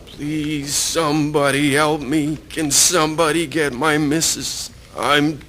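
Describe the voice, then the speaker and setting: Slow, dying man pleading for help, acted out. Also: on the high side, flat delivery, a bit bright. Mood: weak pleading. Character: badly beaten, dying drinker pleading for help. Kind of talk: historical radio drama acting.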